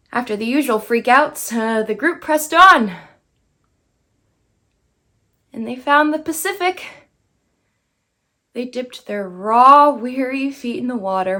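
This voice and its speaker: A woman's voice: high voice, a bit bright, heavily acted. A young woman performing a bitter, brash dead girl in a theatrical monologue, sardonic and emphatic. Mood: bitter, mocking. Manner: sardonic, emphatic, swinging into outbursts.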